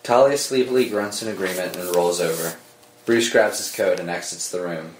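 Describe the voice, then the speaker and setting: A man's voice: low-pitched, normal speed, background noise. A man narrating scripted screenplay directions at a table read. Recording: roomy echo under dramatic score music.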